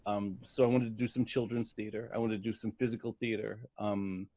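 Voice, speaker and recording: A middle-aged American man telling stories about his life in a reflective interview, speaking in a deliberate, halting way. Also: lowish voice, fairly even intonation, warm tone. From United States, Detroit area. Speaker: guest, stage actor.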